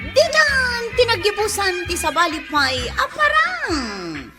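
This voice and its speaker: A woman's voice: extremely high pitch, wildly swooping pitch, slow. A woman announcing a radio drama with theatrical, emphatic scripted delivery. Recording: loud music under the voice. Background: loud theme music.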